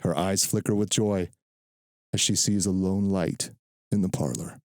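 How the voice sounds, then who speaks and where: A man's voice: sits low, fairly even intonation, a little mellow, no echo. Man narrating dramatic fiction, dry sound. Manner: measured, dramatic storytelling delivery. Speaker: story narrator.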